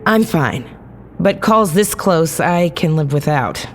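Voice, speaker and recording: A tough young mutant woman, voiced by an actress, who keeps her replies wry and guarded. Her voice sits low for a woman, with faint noise in the background of this audio drama. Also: lively intonation, slow. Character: tough young adult female mutant on a mission.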